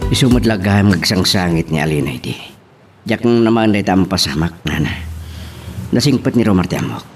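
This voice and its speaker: A man acting a gentle, lovelorn, sorrowful suitor in an Ilocano romance radio drama. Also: low-pitched, expressive, brisk, music in the background. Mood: concerned.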